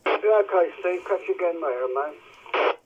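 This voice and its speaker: A man's voice: high-pitched, normal speed, breathy edge. Muffled CB radio voice, man. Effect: CB radio transmission. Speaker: CB radio contact. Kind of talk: casual two-way radio chat. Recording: muffled, noisy CB radio reception.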